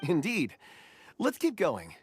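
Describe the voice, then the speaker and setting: Calm low anime game voice, really slow and reserved, understated, noisy with clicks. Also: female voice, exaggerated voice. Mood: reflective. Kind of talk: anime video game voice acting. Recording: clear background noise with clicks.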